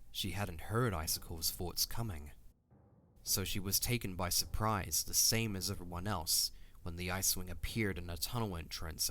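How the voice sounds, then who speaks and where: A man narrating a fantasy audio drama as a storyteller, reading a scripted tale in a measured, dramatic way. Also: low voice, a bit bright.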